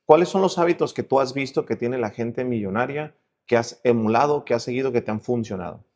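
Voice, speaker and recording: A man's voice: medium pitch, expressive, a little fast, darkish tone. A man hosting a casual conversational interview, reflective as he rephrases and repeats his questions. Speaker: interview host.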